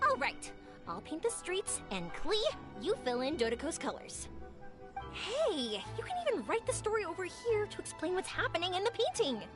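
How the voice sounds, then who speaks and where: A woman playing an upbeat, kind big-sister type in a video game, young, energetic and encouraging. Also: very high-pitched, normal speed, music in the background. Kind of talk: video game character dialogue.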